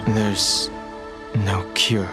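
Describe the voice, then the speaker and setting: Low, reserved young man. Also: level tone, extremely slow. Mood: serious. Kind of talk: video game voice acting. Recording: music playing behind the voice.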